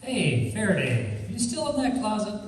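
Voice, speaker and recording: A man playing a cocky, wisecracking amateur sleuth, breezy even when apologizing, in an old-time radio detective drama. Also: high voice, moderate pace. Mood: breezy, apologetic. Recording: echoey hall, distant, faint noise.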